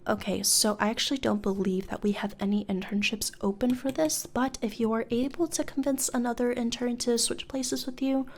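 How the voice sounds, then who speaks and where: A woman playing a polite, blasé demon HR interviewer in a soft-spoken ASMR role-play, partly whispered, with a casual, hesitant, dryly bureaucratic manner. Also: fairly high pitch, steady tone, normal speed, few pauses. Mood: matter-of-fact, coy.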